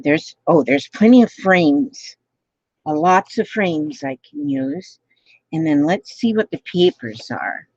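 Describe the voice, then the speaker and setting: A woman hosting a live crafting stream, talking softly with her viewers and gasping over pretty papers. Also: medium pitch, no echo, muffled recording. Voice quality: gentle voice. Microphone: phone.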